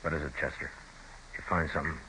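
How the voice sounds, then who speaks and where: An actor playing a calm, stern frontier marshal in an old-time radio western, speaking in a laconic, authoritative, folksy way. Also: male voice, rumbling low, rapid-fire. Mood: grim. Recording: noisy old radio drama recording.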